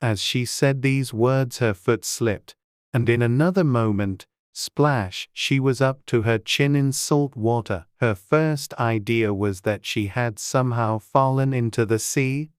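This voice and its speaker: A woman narrating a storybook as an audiobook, with a measured storytelling delivery. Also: extremely low pitch, clear, resonant tone, no room sound, clean studio sound.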